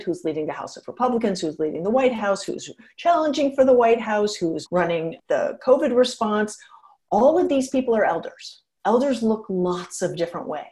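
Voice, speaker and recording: A woman in her fifties, a geriatrician and author, answering interview questions frankly and with energy, laughing now and then as she talks. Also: mid-range voice. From United States. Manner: frank, animated, laughs while talking.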